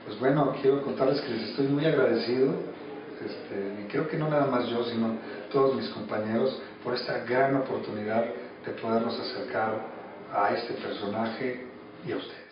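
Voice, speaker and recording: A Mexican man in his thirties giving reflective interview answers in a halting way, repeating words as he searches. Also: mid-range voice, crowd noise. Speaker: interviewee, radio drama actor. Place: small cluttered office. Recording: echoey room, distant mic, muffled, music behind.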